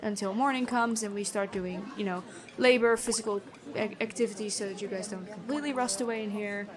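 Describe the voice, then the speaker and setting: A woman playing a stern but kind, noble-born prison guard in a fantasy ASMR roleplay, talking in a measured, conversational way. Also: medium pitch, crowd noise, natural voice. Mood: calm, matter-of-fact.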